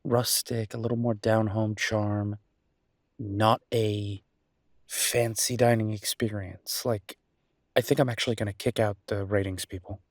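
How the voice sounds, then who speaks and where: Man acting as a husky, playful chef boyfriend. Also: fairly low pitch, steady tone, measured pace, no echo, clean studio sound. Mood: enthusiastic, opinionated. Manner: conversational, playful, exasperated banter. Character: easygoing, teasing human chef boyfriend, gadget-loving and affectionate. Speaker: audio roleplay performer. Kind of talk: intimate one-sided boyfriend audio roleplay.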